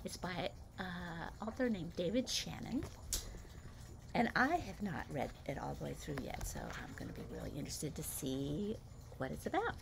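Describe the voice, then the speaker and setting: A woman's voice: fairly low pitch, moderate pace, creaky, noisy background. An older woman, a grandmother, reading a picture book aloud and chatting about the pictures between pages. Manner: warm storytelling, comments on the pictures, lively.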